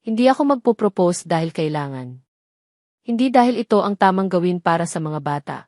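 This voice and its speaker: A woman's voice: on the low side, no room sound, studio quality, natural voice. Woman reading as an earnest, tender Filipino man. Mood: earnest, emotional. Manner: gentle, earnest, measured. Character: earnest loving man, tender father and suitor.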